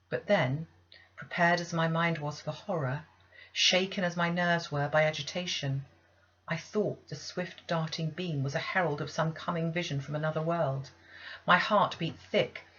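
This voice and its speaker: A woman's voice: low-pitched, a bit bright, husky. A woman reading a classic novel aloud as literary first-person narration, in a dull, lo-fi recording. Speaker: audiobook reader.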